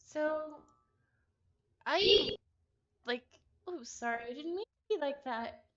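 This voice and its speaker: A woman livestreaming a visual novel, chatting casually and reading game text aloud in an excitable, halting way, often repeating phrases. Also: sits high. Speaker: streamer playing a visual novel. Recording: dull lo-fi stream audio.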